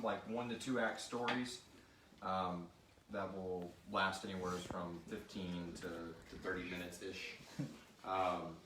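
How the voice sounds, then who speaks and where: A man hosting a casual live question-and-answer session, rambling informally and thinking aloud as he talks. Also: low voice. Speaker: host and show creator reading listener questions. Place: live group recording in an echoey room. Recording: echoey room, distant mic, clear background noise.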